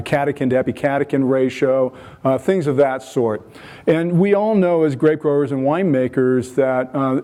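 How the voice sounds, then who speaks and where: An American man, a wine chemistry researcher, giving a conference lecture in a relaxed, self-deprecating way with frequent ums and restarts. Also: mid-range voice. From United States.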